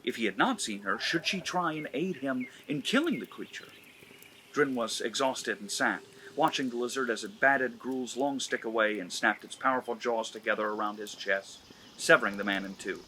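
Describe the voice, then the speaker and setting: A man's voice: mid-range voice, normal speed, pushed and bright, a little hiss or hum, poor audio. A man hosting a comedy channel, giving an erotic story a mock-serious dramatic reading. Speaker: narrator and host.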